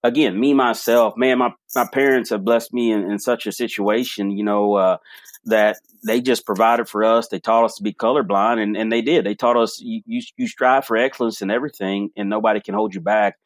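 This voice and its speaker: Low-voiced man telling stories. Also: brisk, dry recording. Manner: animated storyteller, frequent restarts. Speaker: podcast guest. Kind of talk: casual storytelling interview.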